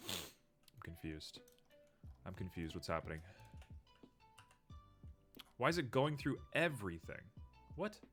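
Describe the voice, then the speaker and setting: Male streamer, lower register, a bit sniffly, relaxed think-aloud commentary full of ums. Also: slow, long pauses, soft background music. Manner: thinks aloud, frequent ums, muttering at the software. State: a little sniffly. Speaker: streamer building a 3D model. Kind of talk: casual live-stream commentary while working.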